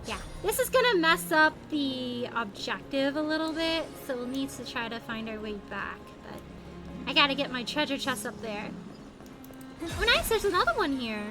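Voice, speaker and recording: A woman's voice: sits high, sing-song, normal speed. A woman live-streaming a game, chatting casually to viewers and thinking aloud in a playful, exclamatory way. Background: music behind the voice.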